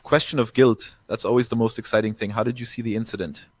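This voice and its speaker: Flat-voiced male show host. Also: medium pitch, quick pace, breathy edge, no room sound. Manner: scripted introductions, poses questions to the guest. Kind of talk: hosting a discussion show.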